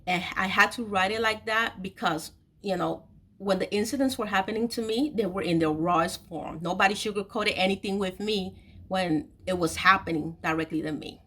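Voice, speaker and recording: A woman's voice: medium pitch, fairly bright tone. Emphatic woman telling her own story. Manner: emphatic, repeats phrases for stress; candid, confiding. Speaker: host of an online video series, author telling her own story. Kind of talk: personal storytelling to camera.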